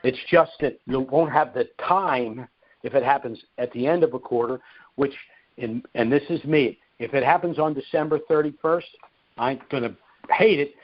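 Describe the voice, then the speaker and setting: A man hosting a question-and-answer call, explaining things deliberately and emphatically, repeating his key points. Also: medium pitch. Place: conference call. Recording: muffled, narrow-band conference call audio.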